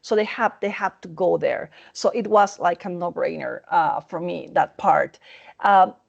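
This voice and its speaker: Woman author with a gravelly fry, halting and repeating herself, muffled lo-fi audio. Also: lowish voice. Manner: hesitant, repeats words, warm enthusiasm. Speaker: author guest. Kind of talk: casual interview answers.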